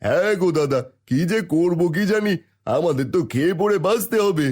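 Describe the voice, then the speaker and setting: A man voicing a worried village customer who complains plaintively, in an animated moral tale. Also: high-pitched, normal speed.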